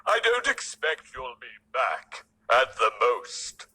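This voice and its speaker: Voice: medium pitch, very animated, slow pace, pushed and bright, breathy edge, full character voice, rough recording. A storyteller doing a boastful roaring lion king. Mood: proud. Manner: roaring, mocking laughter. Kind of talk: storybook character voice.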